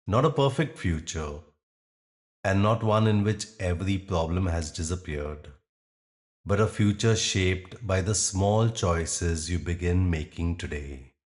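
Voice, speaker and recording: A man guiding a meditation in soothing, measured phrases with long, deliberate pauses. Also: sits low, a little mellow. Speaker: meditation guide. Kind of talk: guided meditation narration.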